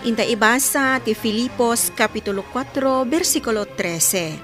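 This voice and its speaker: A woman's voice: fairly high pitch, normal speed, fairly bright tone, faint music behind. A woman with an Ilocano accent reading a scripted devotional introduction as a radio program presenter.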